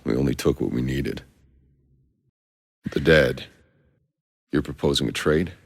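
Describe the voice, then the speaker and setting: A very low, sardonic game soldier. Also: male voice, steady tone, unhurried, creaky, breathy edge, no room sound, exaggerated voice. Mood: curt, guarded. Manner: terse, dry, sardonic. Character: gruff, sardonic, battle-hardened male soldier hero. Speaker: video game voice actor. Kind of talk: video game character voice lines.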